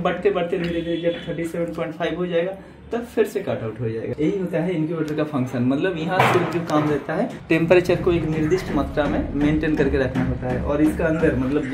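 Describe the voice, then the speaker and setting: A man's voice: a little high, reverberant, background noise. A man hosting a parrot-care vlog, chatting and explaining step by step in a casual way. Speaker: parrot breeder and channel host.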